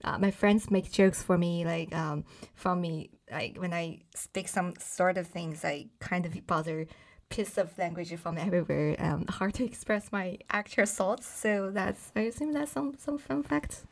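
A young woman from mainland China, whose first language is Bai, talks as a podcast guest. Her voice sits a little low and carries a gravelly fry. She speaks haltingly, with frequent fillers and restarts. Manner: hesitant, many fillers and restarts. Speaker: guest, startup founding member. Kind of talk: casual interview talk.

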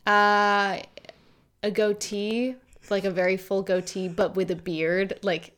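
A woman's voice: mid-range voice, flat delivery, slow, fairly bright tone. A young-sounding woman co-hosting a casual TV recap podcast, hesitant and self-deprecating, with lots of filler words. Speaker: podcast co-host.

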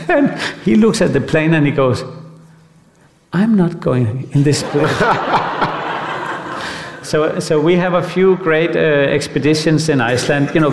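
A man from Denmark, a guest on stage, telling a rambling, animated anecdote with many restarts. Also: a little high, gravelly. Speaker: guest artist. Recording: echoey hall.

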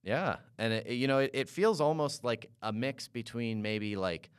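A man from Canada hosting an interview and asking long, halting questions full of "uh"s and restarts. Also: mid-range voice, clear, resonant tone, no room sound, studio quality. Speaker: podcast host.

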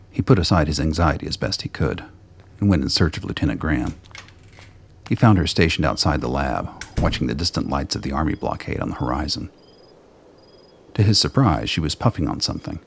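A man with a gravelly, husky voice reading scripted narration for a radio play. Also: very deep voice, no room sound.

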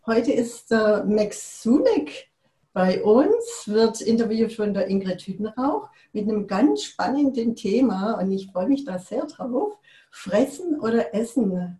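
A woman host welcoming the audience and introducing an interview warmly. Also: medium pitch, echoey room. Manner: warm, welcoming. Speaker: host, moderator. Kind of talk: introducing a show.

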